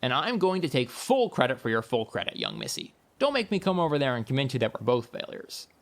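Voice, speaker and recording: A breezy uncle with a taste for adventure , as a woman narrator plays him. He talks in flamboyant, mock-grand flourishes, swinging widely in pitch with a creaky edge. The delivery suits a playful storybook. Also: sing-song, brisk, exaggerated voice. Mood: mock indignant. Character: jaunty, theatrical uncle, a carefree traveling adventurer.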